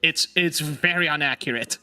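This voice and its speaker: A man with a high, bright voice hosts a relaxed chat stream and laughs easily between remarks. His English is a second language, and his delivery is animated and teasing. Soft music sits under his voice. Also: moderate pace, smooth. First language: not English. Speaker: streamer host. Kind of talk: casual chat.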